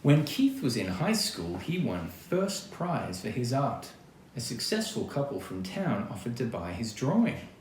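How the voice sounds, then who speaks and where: An Australian-accented man reading a picture book to children as an actor, expressive and chatty, speaking straight to his young listeners. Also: mid-range voice, unhurried. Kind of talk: children's storytime read-aloud with casual asides. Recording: roomy echo, clear background noise.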